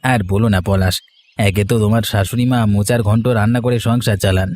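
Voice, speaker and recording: Man playing a rapid-talking village gossip. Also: lowish voice, steady tone, darkish tone, no echo, natural voice. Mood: chatty. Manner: brisk, familiar, chatty. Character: chatty, gossiping village elder-brother type. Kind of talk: Bengali children's cartoon story dialogue. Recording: music playing under the voice.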